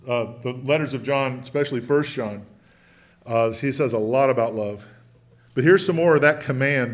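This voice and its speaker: A man preaching a sermon in a church, folksy and conversational, slowing down to read scripture with emphasis. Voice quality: big booming voice. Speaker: preacher teaching a church lesson. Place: church sanctuary.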